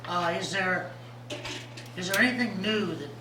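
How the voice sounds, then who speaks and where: A man chairing a city council meeting, his voice weak after recent vocal cord surgery, talking haltingly with many fillers. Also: high-pitched. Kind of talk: presiding over a public meeting. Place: council chamber. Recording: echoey room, distant mic, background noise.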